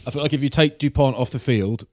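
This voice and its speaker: A man co-hosting a casual sports podcast, trading dry, deadpan teasing banter. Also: mid-range voice, fast, no echo. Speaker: podcast co-host.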